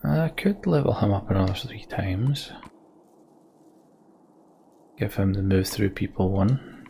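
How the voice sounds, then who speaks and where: Male let's-play host, lowish gravelly voice, muttering his way through a game with music underneath. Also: lively intonation, slow, warm tone, slight background noise. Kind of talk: casual game commentary and reading on-screen story text aloud. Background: video game music.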